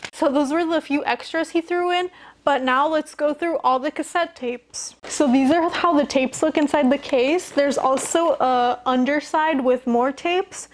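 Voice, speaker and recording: A woman presenting a collection in a casual show-and-tell style, with enthusiastic, informal phrasing and youthful slang. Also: high voice. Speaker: music collecting video host.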